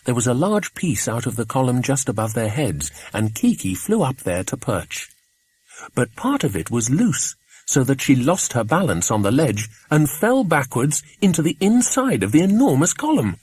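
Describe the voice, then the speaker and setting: A man reading a children's adventure audiobook, an expressive scripted narration. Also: medium pitch, moderate pace, husky.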